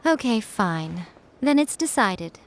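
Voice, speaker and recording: Sly, poised fox priestess. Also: female voice, mid-range voice, sing-song, very noisy. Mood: resigned. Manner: poised, unhurried, teasing, nonchalant. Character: sly, teasing, aloof fox shrine priestess. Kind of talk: video game voice acting.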